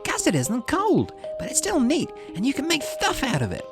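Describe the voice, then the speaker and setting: Casting: male reader as sporty young girl colonist for a visual novel, very high swooping voice, bubbly, chatty, carefree. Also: huge pitch swings, moderate pace, over music, heavily acted. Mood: cheerful, carefree. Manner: bubbly, eager, childlike.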